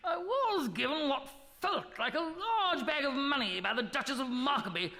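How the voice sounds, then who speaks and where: An actor playing a pompous, blustering Edwardian explorer captain with a plummy upper-class manner, in live radio comedy. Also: male voice, very high voice, lively intonation, normal speed, pushed and bright, full character voice. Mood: rueful.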